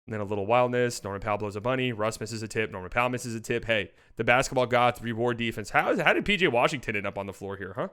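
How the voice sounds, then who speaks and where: A man hosting a sports show with casual, animated, sweary fan commentary on basketball highlights. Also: fast, hardly any pauses, clear, resonant tone.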